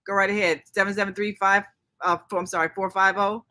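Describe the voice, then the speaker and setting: A woman hosting a call-in talk show, brisk and businesslike as she keeps things moving and takes callers. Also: mid-range voice, little pitch movement, pushed and bright. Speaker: show host.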